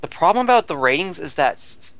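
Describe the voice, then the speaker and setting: A man's voice: a little high, very animated, fast talker, fairly bright tone, no echo. A man talking casually as a podcast panelist, explaining things in a detailed, digressive way. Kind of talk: casual discussion, reporting figures.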